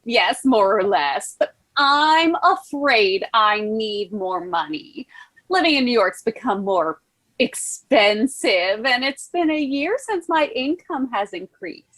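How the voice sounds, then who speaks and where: A woman's voice: a little high, expressive, takes it slowly, fairly bright tone, heavily acted. A woman playing a spoiled, gushing, money-hungry niece in a read-through of a stage musical. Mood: wheedling, impatient. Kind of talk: musical table read, scripted dialogue. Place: recorded online.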